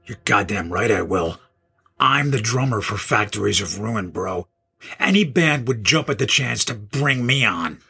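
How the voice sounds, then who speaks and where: A man playing an angry young rocker in an audio drama, a fed-up, sarcastic drummer. His voice is very husky and rough, swinging up and down as he confronts his bandmates. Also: on the low side, animated, measured pace, bright, forceful tone, heavily acted. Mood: angry, defiant. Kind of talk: audio horror drama acting.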